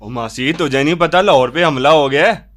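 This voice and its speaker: A man's voice: fairly high pitch, normal speed, fairly bright tone, lightly acted. A man playing an earnest neighbourhood fellow in a radio drama. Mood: agitated. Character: earnest neighbourhood man bearing grave news.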